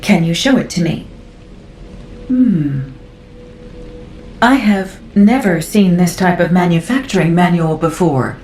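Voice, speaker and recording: A woman playing a curious, analytical scientist in a video game, with deliberate, thoughtful delivery. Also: lowish voice, a little mellow, faint music behind. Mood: wary interest. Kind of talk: video game dialogue acting. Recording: roomy game audio, faint noise.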